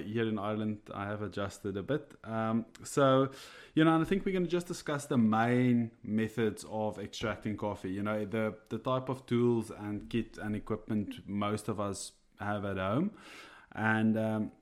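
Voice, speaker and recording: A man whose first language is Afrikaans, speaking English with an Afrikaans accent, explains coffee on a podcast from his office over a remote call, halting and restarting with small chuckles. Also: fairly low pitch. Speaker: coffee roaster co-host.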